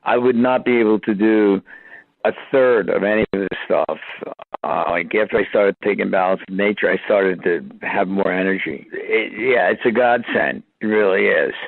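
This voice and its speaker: An older man giving a halting, conversational testimonial on a dull, lo-fi recording. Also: lowish voice, gravelly, no echo. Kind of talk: unscripted spoken testimonial.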